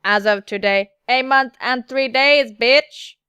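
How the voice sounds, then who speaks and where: A woman voicing a curt, sassy male android warehouse keeper in a steady, clipped, formal manner with blunt insults. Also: high-pitched, slow pace, smooth, dry recording, exaggerated voice.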